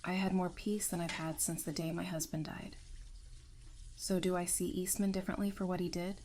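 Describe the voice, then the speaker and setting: A woman's voice: on the low side, level tone, normal speed, darkish tone, slight background noise, close to an everyday voice. A woman playing a composed, candid psychiatrist in an audio drama, speaking thoughtfully. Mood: grieving, candid.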